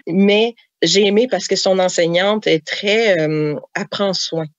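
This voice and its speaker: A woman's voice: mid-range voice, unhurried, no room sound, lo-fi sound. A woman with a Québécois accent, a novelist and mother, telling anecdotes in a podcast interview, chatty with many fillers and laughs.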